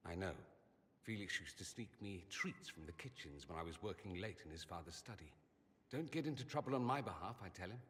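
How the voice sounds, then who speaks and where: An actor playing a vain, witty mage in a fantasy video game, with an arch, sardonic and theatrical delivery. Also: male voice, low-pitched, lively intonation, a little fast, a bit bright, big-room sound. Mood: subdued grief. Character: witty, vain mage from a fantasy empire, wryly self-aware. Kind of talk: dramatic video game dialogue.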